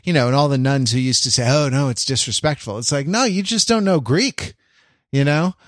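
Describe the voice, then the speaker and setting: Halting man in a dry, close recording. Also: medium pitch, no room sound. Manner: hesitant, halting delivery with repeated false starts. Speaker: podcast co-host. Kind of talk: casual conversational podcast chat.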